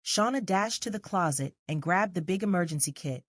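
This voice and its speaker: Woman, storybook narrator, scripted children's read-aloud, slightly low pitch, dry studio-like sound. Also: lively intonation, brisk, dry recording.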